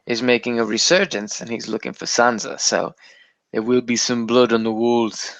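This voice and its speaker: A man's voice: mid-range voice, little pitch movement, normal speed, gravelly, no echo, poor audio. A man co-hosting a casual, bantering podcast, talking theatrically and keeping up a put-on accent. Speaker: podcast co-host. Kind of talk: casual banter about TV and video games.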